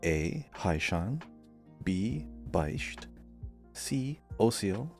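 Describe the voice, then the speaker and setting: Deep-voiced man hosting a casual quiz. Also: very animated, drawn-out words, faint music behind. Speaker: podcast quiz host. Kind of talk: casual quiz show banter, reading out questions.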